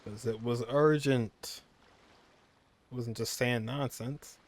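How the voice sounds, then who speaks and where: A man's voice: mid-range voice, fairly even intonation, takes it slowly. A man giving casual gameplay commentary, reacting as he plays.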